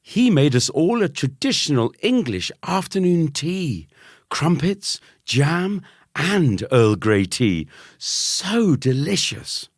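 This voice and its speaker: A man's voice: a little high, sing-song, slow pace, no room sound, clean studio sound, pitched above the performer's own voice, exaggerated voice. A man voicing a schoolboy's cheery but bossy mother in a storybook reading. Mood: gushing, proud. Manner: bright, gushing, then stern.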